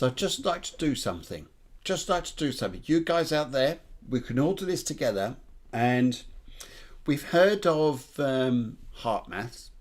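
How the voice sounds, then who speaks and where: A man's voice: medium pitch, a little mellow. Affirming man hosting a spiritual show. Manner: affirming, thoughtful, halting. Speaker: host, medium. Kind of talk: casual spiritual chat.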